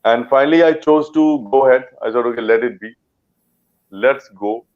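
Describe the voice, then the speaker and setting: A man's voice: mid-range voice, moderate pace, dull audio. A man from Punjab with an Indian English accent, an actor chatting in an interview, rambling with fillers and restarts. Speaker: actor guest. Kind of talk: casual interview chat.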